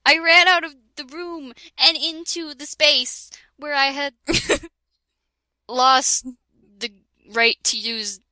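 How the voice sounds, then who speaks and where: Woman reading a parody story aloud: bright, slow, giggly, dry close sound. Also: high-pitched, no room sound. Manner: giggly, keeps breaking into laughter and sarcastic asides. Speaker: reader of a parody fan fiction. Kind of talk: comedic dramatic reading with a friend.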